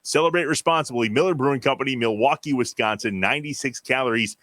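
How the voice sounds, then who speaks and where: A man's voice: mid-range voice, fast talker, fairly bright tone, no room sound, studio quality. A man hosting a sports podcast, moving between casual chat and sponsor reads. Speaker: podcast host.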